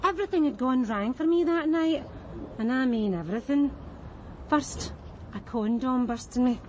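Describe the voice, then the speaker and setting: An actress playing a blunt, world-weary Scottish street prostitute in a radio crime drama. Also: female voice, high-pitched, animated, moderate pace, lo-fi sound. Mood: weary, bitter. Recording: noisy street and car background.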